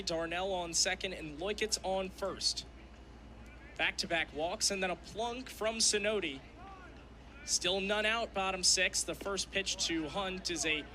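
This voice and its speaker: A man's voice: high voice, sharp tone. A young man doing radio play-by-play of a live game in clipped, descriptive phrases. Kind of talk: live sports commentary.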